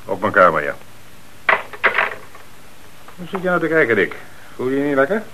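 A man acting a calm, confident police commissioner with measured authority in a Dutch radio play. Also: medium pitch. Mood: relaxed, confident. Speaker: actor in a radio crime play. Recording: noisy, band-limited old radio recording.

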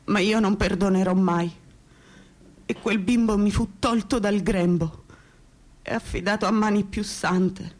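An actress playing a wronged asylum inmate, reciting bitter verse in a solemn, theatrical way. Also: female voice, mid-range voice, steady tone, measured pace, darkish tone, natural voice.

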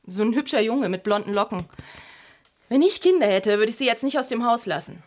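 An actress playing a talkative, gossipy physiotherapist in a radio drama, eager to pass on news. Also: female voice, fairly high pitch, animated, brisk, close to an everyday voice. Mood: eager, shocked.

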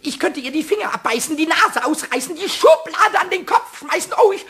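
A man's voice: extremely high pitch, animated, brisk, bright, forceful tone, exaggerated voice. A man voicing a hot-tempered, childish little male kobold in a German children's radio play, squawky, piercing, whining and ranting. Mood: furious, wailing. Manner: whining, ranting, repeating words.

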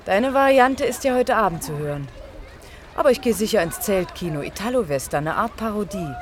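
An actress playing a spirited young student lifeguard in a radio play, with a direct, encouraging manner. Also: female voice, medium pitch, lively intonation, fairly quick, natural voice. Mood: cool, teasing. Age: young adult. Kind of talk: German radio play acting. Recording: noisy outdoor sound scene with water.

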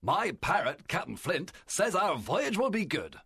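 A man voicing a sly, genial pirate ship's cook in a storybook, husky and ingratiating. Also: high voice, sing-song, unhurried, a bit bright, no echo, clean studio sound, voice pushed up, exaggerated voice. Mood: friendly. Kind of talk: storybook character dialogue.

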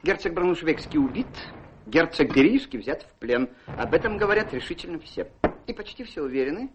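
An actor playing an excitable manservant in a radio play. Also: male voice, a little high, brisk, dull audio, background noise. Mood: alarmed. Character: excitable manservant bearing bad news.

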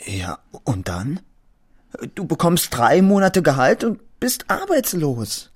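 A man's voice: fairly high pitch, wildly swooping pitch, slow pace, breathy edge, no room sound, studio quality, heavily acted. An actor playing an anxious, earnest young husband and salesman, polite and hesitant, in a radio drama. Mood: worried, resigned. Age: young adult. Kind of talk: German radio drama acting.

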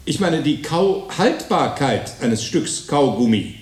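A man's voice: on the high side, very animated, slow pace. Radio drama: critical, restless male alter ego, dramatic interjections, swinging intonation, echoey room. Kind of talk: German radio play monologue.

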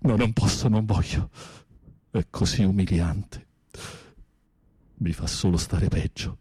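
An actor playing a tormented, fearful, lovesick former teacher in prison in a radio drama, husky and pleading, with a poetic, theatrical delivery. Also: male voice, deep voice, slow pace, dark tone, dry recording, heavily acted. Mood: anguished longing. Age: middle-aged. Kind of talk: intimate radio drama acting.

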